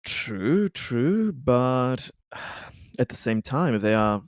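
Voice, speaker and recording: A man roleplaying a formal, wary, troubled security investigator in a tabletop game, with a measured, halting delivery. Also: medium pitch, big pitch swings, no echo, clean studio sound.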